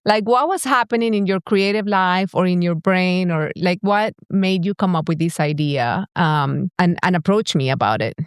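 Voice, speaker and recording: Venezuelan woman cohosting a podcast, candid and excited, dry close sound. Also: on the low side, clear, resonant tone, no room sound. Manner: candid, enthusiastic, talks in quick restarts. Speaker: podcast co-host. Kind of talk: casual podcast conversation.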